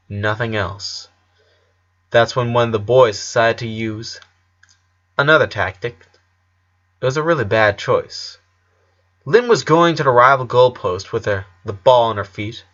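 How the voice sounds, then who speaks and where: A man's voice: mid-range voice, a bit bright, lo-fi sound. A man reading a scripted story aloud as an audiobook narrator.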